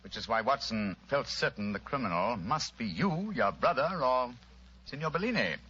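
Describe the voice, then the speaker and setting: An actor playing a shrewd, commanding English gentleman detective in an old radio drama, precise, with an English accent. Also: medium pitch, big pitch swings, normal speed, husky, full character voice. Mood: explaining. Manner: urbane, precise, authoritative. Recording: muffled, noisy old radio recording.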